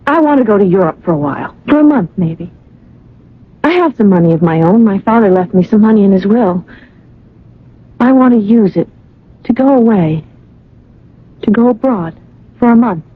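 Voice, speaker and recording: A woman's voice: mid-range voice, animated, normal speed, pauses often, slightly rough, dry recording. An actress playing a wistful, searching young woman of twenty-two in a radio drama, with a hesitant, thoughtful delivery. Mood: tentative resolve. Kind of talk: old radio drama acting. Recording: narrow, tinny old radio sound, music behind.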